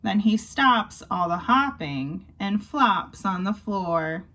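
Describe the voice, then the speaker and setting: A young woman reads a children's picture book aloud. Her pace is slow and measured, with pauses between phrases. Her medium-pitched voice has a creaky edge. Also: animated, pushed and bright. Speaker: volunteer storybook reader. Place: small wood-panelled bedroom indoors.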